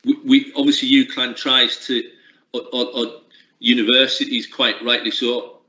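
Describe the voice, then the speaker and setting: A man's voice: medium pitch, little pitch movement, normal speed, sharp tone. A middle-aged man, a sports coaching lecturer, answering interview questions in a reflective way with frequent restarts. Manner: reflective, uses many restarts and fillers. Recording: lo-fi roomy recording.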